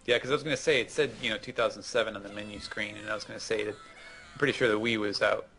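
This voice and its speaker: A man with a fairly low voice co-hosts a casual game commentary. He explains in a measured, conversational manner, with faint music behind him. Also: fairly even intonation, creaky, fairly bright tone, poor audio. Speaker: co-host. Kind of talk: casual gameplay commentary chat.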